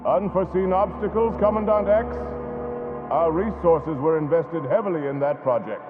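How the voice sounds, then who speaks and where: A man's voice: a little high, moderate pace, music in the background, close to an everyday voice. A man playing a stern, demanding leader of a secret council in a radio drama, echoey and tinny. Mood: imperious. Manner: imperious, demanding. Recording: echoey tinny hall sound.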